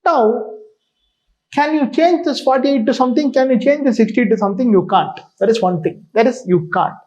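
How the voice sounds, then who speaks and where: Indian man, high voice, emphatic exam coach, informal lecture style, repeats points, slightly dull lo-fi recording. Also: normal speed, darkish tone. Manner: emphatic, repetitive, frequently checks with "right?". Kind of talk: informal explanatory lecture to students.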